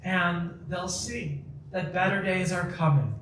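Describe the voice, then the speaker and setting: Man, public talk, quick restarts, echoey hall, off-mic. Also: high-pitched, distant mic, background noise. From Chicago. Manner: energetic, quick asides, frequent restarts. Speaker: guest speaker. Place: community center hall.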